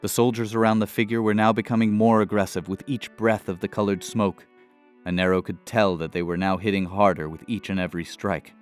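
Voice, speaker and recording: A man narrating a scripted fantasy adventure in a dramatic, measured storytelling manner. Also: lowish voice, fairly even intonation, smooth, faint music behind.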